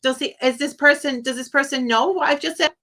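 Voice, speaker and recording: A woman's voice: sits high, brisk, pushed and bright. A British woman hosting a live phone-in as a psychic medium, chatting casually through a reading and often checking that the caller understands.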